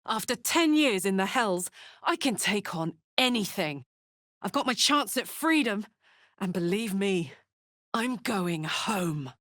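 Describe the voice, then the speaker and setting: A brash, big-hearted warrior woman for a fantasy video game. She is blunt, salty and earnest, speaking at an unhurried pace in a mid-range voice. The sound is dry, with no room on it. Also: mid-range voice, lively intonation, fairly bright tone, no echo. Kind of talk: video game character voice lines.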